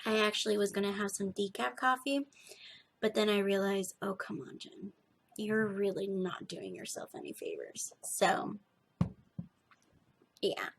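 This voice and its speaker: A young woman with a slightly gravelly edge, chatting casually and emphatically as a podcast host, often restarting her sentences. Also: medium pitch, measured pace, long pauses. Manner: animated, emphatic, self-interrupting. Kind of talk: casual rambling chat to viewers.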